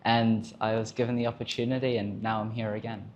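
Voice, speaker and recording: Reflective young Australian camp counsellor. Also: male voice, fairly low pitch, little pitch movement, fairly quick, darkish tone, dull audio, slight background noise. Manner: reflective, chatty with many fillers. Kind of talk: casual interview answers.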